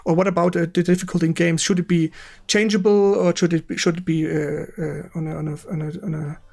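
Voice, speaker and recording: A man with a German accent hosting a casual livestream interview, haltingly searching for his words in English as a non-native speaker. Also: fairly high pitch, level tone, vocal fry, darkish tone. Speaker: stream host interviewing a guest.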